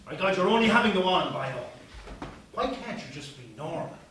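An older actor with an Irish accent playing a curmudgeonly publican on stage, sarcastic, exasperated and scornful. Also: male voice, sits high, expressive, brisk, fairly bright tone. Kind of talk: live stage play acting. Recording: echoey theatre stage, distant mic, faint hiss.

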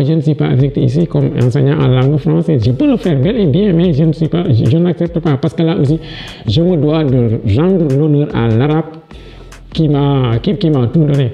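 A middle-aged man with a Senegalese French accent, answering interview questions from his office with halting restarts and stories told with growing energy. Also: on the high side, vocal fry, dark tone. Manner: halting restarts and repeated words, livelier when telling stories. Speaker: education official interviewed as guest. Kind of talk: interview answers, personal anecdotes. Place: office with large window, indoors. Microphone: lapel mic.

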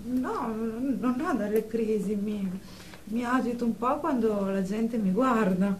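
An actress playing a nervous thirty-year-old psychiatric patient in a radio drama, evasive and hesitant, often repeating herself. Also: female voice, on the high side, slow pace, a little mellow. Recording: distant with faint background noise.

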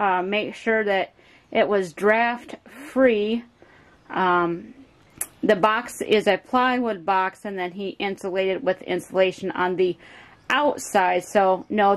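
Slow-talking woman homesteader on camera. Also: medium pitch. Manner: chatty, explanatory, with many fillers. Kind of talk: casual how-to vlog. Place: porch outdoors, then inside a small home. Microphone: handheld phone camera.

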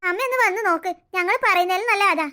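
Two teenage girls tease their mother and drag out the suspense in mock-ominous tones, as a woman voices them. Their voices are very high, bright and quick. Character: two teasing teenage daughters.

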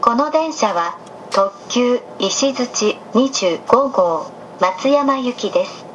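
A female train announcer delivers a scripted, prerecorded message very slowly and politely. Her voice sits slightly high and comes through the cabin PA, with some train noise around it. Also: expressive, highs cut off. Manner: polite, measured, precisely scripted. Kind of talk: recorded scripted public announcement. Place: over a train cabin's speakers.

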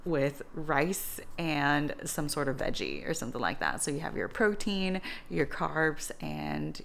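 A woman's voice: sits low, expressive, unhurried, vocal fry, a little hiss or hum. A woman in her thirties explaining home cooking in an informal, conversational vlog style. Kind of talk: casual vlog explanation to camera.

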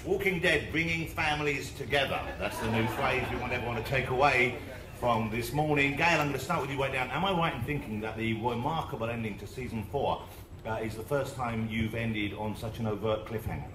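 A man's voice: mid-range voice, fairly quick. A man with an English accent moderating a convention panel in a tent, joking with the cast as he asks questions. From England. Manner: jokey, self-deprecating. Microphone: handheld mic at a podium. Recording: echoey tent with crowd noise.

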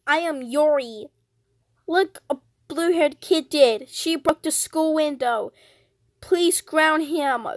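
A woman's voice: high-pitched, slow, no room sound, studio quality. A woman playing a stern, easily angered schoolteacher in a skit, scolding dramatically. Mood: stern, annoyed.

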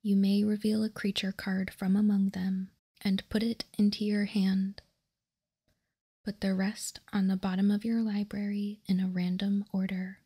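A woman whispers in an ASMR style, close to the microphone. Her delivery is very slow and deliberate, with an even, flat pitch. The voice is breathy and hushed as she reads aloud. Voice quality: soft unvoiced whisper, breathy. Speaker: ASMR host. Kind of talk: ASMR, reading card text aloud.

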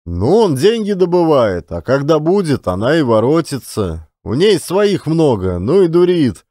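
A man's voice: a little high, very animated, measured pace, warm tone, no echo, clean studio sound. A man voicing a weak, self-pitying younger drinker in an audiobook play, plaintive and aggrieved. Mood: bitter.

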